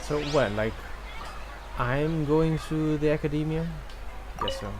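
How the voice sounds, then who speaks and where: A man's voice: on the high side, unhurried, noisy background. A man giving casual, hesitant gameplay commentary, thinking aloud. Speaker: game streamer. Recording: game music behind voice.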